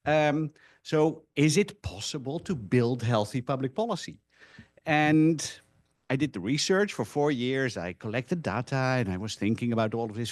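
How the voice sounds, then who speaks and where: A man who is a non-native English speaker, giving an informal academic presentation in an animated storytelling manner with rhetorical asides. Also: medium pitch, no echo. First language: not English (English is a third language).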